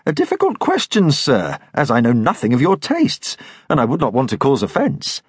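A man's voice: mid-range voice, wildly swooping pitch, breathy edge, dry recording, exaggerated voice. A man voicing an educated bookseller who speaks politely and carefully with dry wit. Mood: wry polite. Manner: polite, measured, wry.

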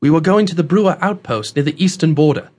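A man's voice: a little high, fast, a little mellow, pitched above the performer's own voice, natural voice. A man narrating an audiobook, voicing a polite, earnest young adventurer with an anxious, urgent manner.

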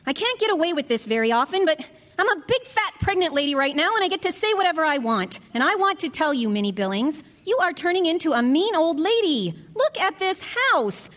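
A woman's voice: very high voice, big pitch swings, a little fast, fairly bright tone, big-room sound, exaggerated voice. A woman acting an exasperated, outspoken young pregnant daughter-in-law in a radio drama, with a sarcastic edge.

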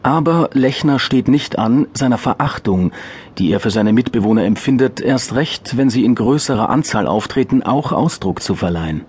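A man's voice: mid-range voice, expressive, normal speed, a little mellow, husky. A male actor with an Austrian accent narrating a satirical crime radio play in dry, bureaucratic style. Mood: dry, officious. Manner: dry, officious, mock-bureaucratic wording. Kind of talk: scripted crime audio drama narration.

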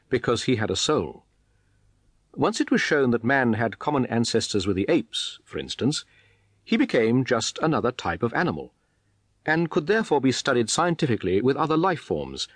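A man's voice: mid-range voice, breathy edge, dry recording. A man reading a scripted biographical documentary narration in a formal, articulate, explanatory manner.

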